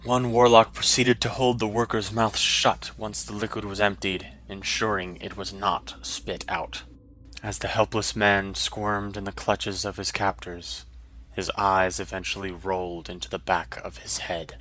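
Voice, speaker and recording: A man's voice: fairly low pitch, flat delivery, a little slow, fairly bright tone, a little hiss or hum. A man narrating a scripted fantasy story in a dramatic style.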